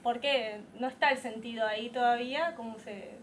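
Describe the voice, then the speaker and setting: A woman's voice: on the high side, fairly even intonation, normal speed, a crowd in the background. A woman interviewing in a conversational style, phrasing her questions tentatively and giving short affirmations. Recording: distant mic in a faintly noisy room.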